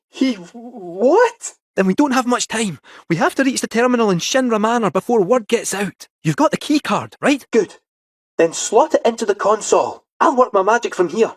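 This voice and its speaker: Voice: medium pitch, very animated, moderate pace, husky, dry recording, clean studio sound. An actor voicing a jaunty, theatrical robot cat with a Scottish accent in a video game, helpful, folksy and a little fussy. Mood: brisk, confident. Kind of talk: animated video game character acting.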